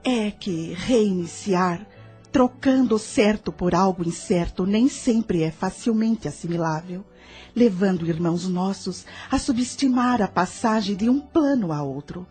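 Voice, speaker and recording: A woman with a Brazilian accent playing a serene, patient spirit guide with a soft, sweet voice in an audio drama. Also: medium pitch, animated, unhurried, husky, soft background music. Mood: serene, instructive.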